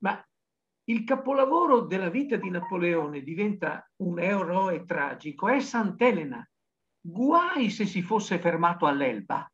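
A man's voice: sits high, very animated, unhurried, a bit bright. An older man gives an impassioned interview reflection in a declamatory, emphatic way. His intonation swings widely and animatedly. The sound is dull and lo-fi, as from a video call. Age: older, 60s to 70s. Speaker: novelist guest. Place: book-lined study indoors, on a video call. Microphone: computer video-call microphone.

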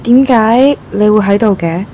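A woman playing a tentative young woman in a Hong Kong Cantonese radio drama. Her voice is soft-toned and polite, halting as she goes, over faint background noise. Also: medium pitch, natural voice. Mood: awkward, polite. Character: hesitant, polite young woman with memory loss.

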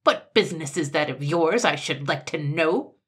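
A woman's voice: low voice, expressive, normal speed, husky, heavily acted. A woman voicing a tiny, hot-tempered male mannikin from a storybook, snapping out haughty commands. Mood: irritated, shrill. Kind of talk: storybook character voice.

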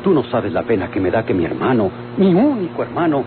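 Actor as an earnest rural Mexican young rancher. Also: male voice, mid-range voice, big pitch swings, brisk, music in the background, close to an everyday voice. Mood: wounded, tender. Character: earnest young rancher, loving fiancé, pleading brother. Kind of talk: old Mexican radio drama acting. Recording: tinny, noisy old radio drama recording.

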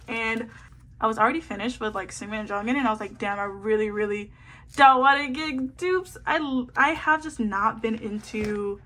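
Bubbly woman w kinda high voice rambling while she files photocards, lots of slangy asides. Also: bright, forceful tone. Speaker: photocard collecting vlogger. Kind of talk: casual chat while sorting a binder. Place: indoors at a table, hands seen filming a binder.

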